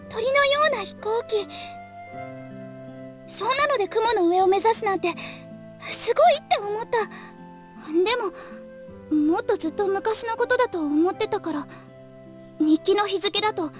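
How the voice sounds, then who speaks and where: A Japanese actress playing a shy, earnest teenage girl in a visual novel. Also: very high voice.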